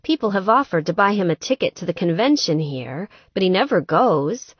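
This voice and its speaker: Audiobook role: female reader as sweet young gamer woman, distressed and exasperated, very expressive pitch, dry close sound. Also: normal speed, breathy edge, dry recording, dull audio. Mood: troubled. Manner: plaintive, exasperated. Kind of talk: audiobook character dialogue.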